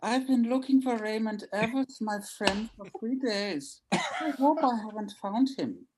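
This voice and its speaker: A woman reading the inner monologue of a brooding, self-assured comic-book investigator in a deliberate, ominous way. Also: fairly high pitch, expressive, background noise.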